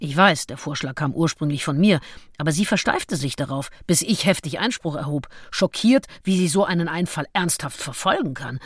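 An actress playing a candid, passionate, willful Englishwoman confiding her diary in an intimate radio play, with deliberate phrasing. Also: low voice, lively intonation, husky, dry recording. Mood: indignant. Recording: studio radio play with music behind.

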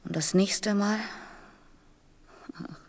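A woman acting a bitter yet tender wartime mother in a German radio play, intimate and halting, with a husky, often whispering voice. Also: mid-range voice, fairly even intonation, a little mellow, exaggerated voice. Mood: bitter, anguished.

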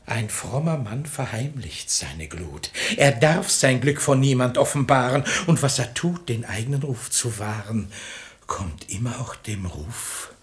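A man's voice: medium pitch, lively intonation, measured pace, lightly acted. A man playing a sanctimonious, lustful hypocrite who feigns humble piety, declaiming verse unctuously in a radio play. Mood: ardent, pleading. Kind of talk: German radio play of classical verse comedy.

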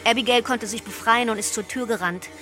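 An actress plays a young female accomplice in a radio play. Her voice is on the high side, and she gives her account plainly and factually. Faint music sits behind her. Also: brisk, fairly bright tone, lightly acted. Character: young female cult accomplice recounting a killing. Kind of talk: radio play monologue in testimony form.

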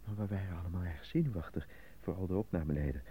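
A man playing an anxious, apolitical stage actor in a Dutch radio drama, with a theatrical manner that turns halting under nerves. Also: sits low, dark tone, husky. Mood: nervous, subdued. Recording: dull lo-fi radio drama recording.

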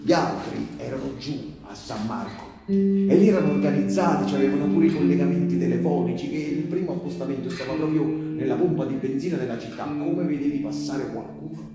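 A man performing a theatrical monologue as a wistful Resistance partisan recalling the war, confiding and halting, with drawn-out phrases and repetitions. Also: mid-range voice, close to an everyday voice. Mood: urgent, recollecting. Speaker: stage actor performing a monologue. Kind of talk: theatrical narrative monologue, intimate and colloquial. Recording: very echoey, music playing behind the voice. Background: live guitar music.

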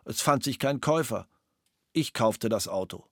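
Former soldier and gunsmith, factual testimony, dry close sound, mid pitch. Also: male voice, lively intonation, normal speed, no room sound. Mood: flat, factual. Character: former soldier and gunsmith giving a witness statement. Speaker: reader of interrogation protocols. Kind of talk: sober read-out statement.

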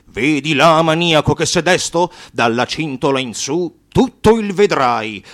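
A man's voice: a little high, animated, a little slow, a bit bright. A man reciting satirical verse in a declamatory, mocking, theatrical way. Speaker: actor and narrator.

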